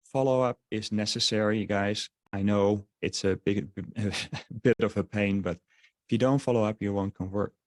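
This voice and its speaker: Dutch-accented male webinar presenter, lowish voice, rambling sales pitch, dry close mic. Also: steady tone, normal speed, no echo. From Netherlands. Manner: rambling, many fillers and restarts.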